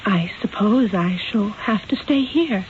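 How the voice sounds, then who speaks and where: An actress playing a distressed but insistent wife in a radio drama, speaking in a dramatic, pleading way. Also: female voice, medium pitch, animated, unhurried, narrow, tinny audio, natural voice. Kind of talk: old-time radio drama acting. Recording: thin, hissy old radio recording.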